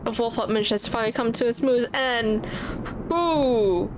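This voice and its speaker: A woman's voice: fairly high pitch, normal speed, background noise. A woman streamer giving casual, reactive commentary on a game playthrough in an informal way. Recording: game music behind the voice.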